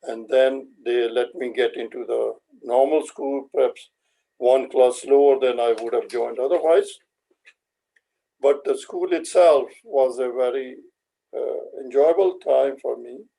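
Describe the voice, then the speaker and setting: Slow, gravelly older Indian man. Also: mid-range voice, dark tone, rough recording. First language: Hindi. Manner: halting, measured, with pauses. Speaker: interview guest. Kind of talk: reflective personal recollection.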